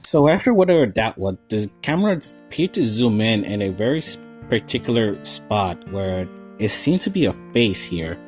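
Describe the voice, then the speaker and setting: A man's voice: lowish voice, sing-song, moderate pace, few pauses, darkish tone. A man hosting a video essay, giving casual, slightly stumbling analysis of a horror series. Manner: informal, stumbling over wording. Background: faint plucked guitar music.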